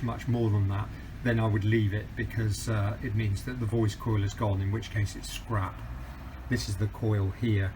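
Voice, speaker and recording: A man's voice: on the low side, noisy background. A middle-aged man, walking through a hands-on speaker repair step by step in a practical, methodical way, with frequent ums and uhs. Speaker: DIY repair tutor.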